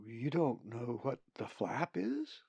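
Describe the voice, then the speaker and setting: A kindly mother-hen of a raven from a storybook, put on by a male reader. She speaks softly and soothingly, full of sympathy, with some gravel in the voice. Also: mid-range voice, huge pitch swings, slow pace, no echo, dull audio, exaggerated voice. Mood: astonished.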